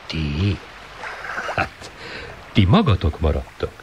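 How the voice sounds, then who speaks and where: A man's voice: sits low, very animated. An actor playing an indulgent middle-aged father in a Hungarian radio drama, speaking with teasing mock formality. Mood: playfully formal. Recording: noisy 1980 radio play recording.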